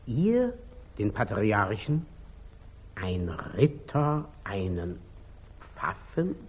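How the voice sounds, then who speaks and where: An actor playing a humble, artful lay brother with a deferential, plaintive manner in 1940s German radio drama style. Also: male voice, lowish voice, big pitch swings, very slow, breathy edge, heavily acted. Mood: sly, deferential. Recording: old muffled 1940s radio recording.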